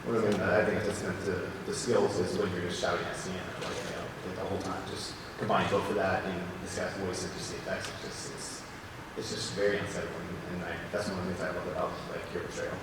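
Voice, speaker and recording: A man in the audience asking the panel a question in a hesitant way, with many pauses and "um"s. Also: deep voice, flat delivery. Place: large convention hall. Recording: echoey hall, heard from far off, background noise.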